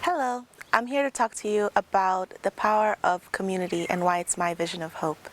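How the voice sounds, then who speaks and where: A young woman from Tanzania, a software engineer, giving a prepared talk in an earnest, conversational way. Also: mid-range voice, steady tone, brisk, very noisy. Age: young adult. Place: outdoors.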